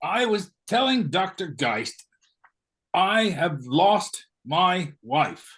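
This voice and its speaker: A man playing a blustery, oblivious knighted gentleman in a comic stage play, sounding puzzled. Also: high voice, big pitch swings, extremely slow, heavily acted. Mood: breezy, oblivious. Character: bewildered, blustery English-style knighted gentleman, oblivious husband.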